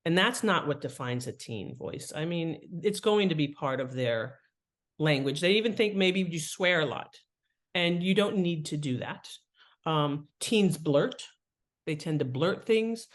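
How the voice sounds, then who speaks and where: A woman guest explaining her craft in conversational interview talk, with an animated manner. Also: deep voice. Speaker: guest, fiction writing author.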